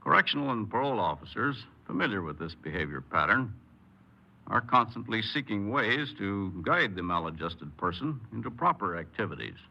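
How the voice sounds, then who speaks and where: A man's voice: on the low side, animated, moderate pace, vocal fry. A man speaking as a government official, delivering a formal scripted radio address. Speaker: state corrections official, guest speaker. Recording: muffled 1950s radio recording.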